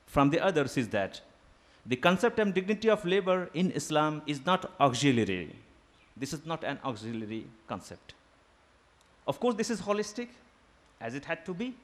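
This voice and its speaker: A man whose first language is Urdu, giving a formal seminar lecture in a deliberate academic manner, pausing with fillers. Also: fairly high pitch. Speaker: guest lecturer. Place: seminar hall.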